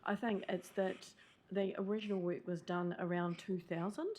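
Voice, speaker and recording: A woman's voice: on the low side, steady tone, normal speed, darkish tone. A woman presenting at a council meeting, hesitant with many fillers.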